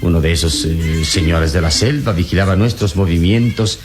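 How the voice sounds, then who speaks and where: An actor playing a calm, wise and fearless adventurer hero in a radio serial, speaking in a measured, reassuring, paternal way. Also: male voice, low voice, close to an everyday voice. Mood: calm, explanatory. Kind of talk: Latin American radio serial acting. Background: dramatic background music.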